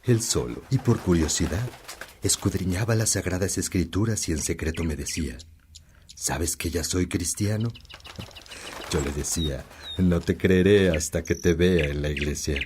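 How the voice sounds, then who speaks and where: An actor playing a wise, kindly old priest in a Spanish-language radio drama, telling stories in a measured, earnest way. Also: male voice, low voice, a little mellow, a little hiss or hum, lightly acted. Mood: earnest storytelling.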